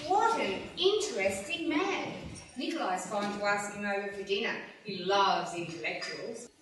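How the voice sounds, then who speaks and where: A woman's voice: medium pitch, lively intonation, moderate pace, fairly bright tone, natural voice. A woman playing a chatty, affectionate friend. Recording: echoey room, distant mic, noticeable hiss.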